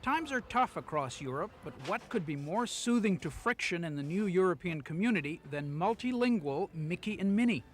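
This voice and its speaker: Male reporter, high and wry, archival news voiceover. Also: lively intonation, normal speed, few pauses. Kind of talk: scripted news narration.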